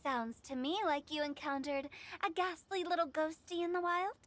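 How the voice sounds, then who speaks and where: A woman's voice: high voice, moderate pace, a bit bright. A woman playing a playful, theatrical young funeral director in an anime game, with mischievous, flowery speech. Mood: teasing, curious.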